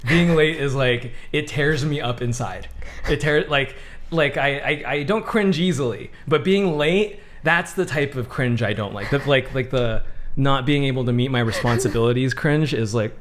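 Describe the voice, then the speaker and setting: A man in his thirties co-hosting a podcast, telling a story in a loud, animated way, full of fillers and restarts. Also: mid-range voice, moderate pace. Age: 30s. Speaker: podcast co-host. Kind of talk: casual chat, storytelling.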